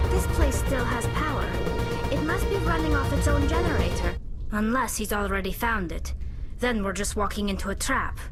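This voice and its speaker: Actress as high-voiced young alien woman, earnest engineer. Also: close to an everyday voice. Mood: alert, wary. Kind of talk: video game dialogue acting. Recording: loud game music and engine rumble behind.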